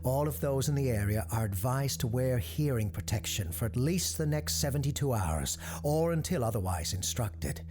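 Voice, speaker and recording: An actor playing a composed, earnest parapsychologist hosting an eerie radio show, speaking in a measured, formal announcer style. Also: male voice, medium pitch, lively intonation, music in the background, lightly acted. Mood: grave, measured. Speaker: host of a paranormal call-in radio show. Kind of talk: scripted radio-show narration.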